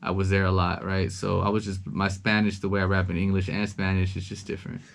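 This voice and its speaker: Very low-voiced man, relaxed and rambling. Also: quick pace, gravelly. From Los Angeles. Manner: relaxed, rambling, many ums and repeated words. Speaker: interview guest, rapper. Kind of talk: casual interview chat.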